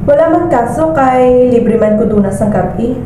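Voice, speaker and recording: A woman's voice: a little high, animated, moderate pace, lightly acted. A woman playing a self-reliant young career woman in an amateur student radio drama. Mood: friendly, candid. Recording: echoey room, clear background noise.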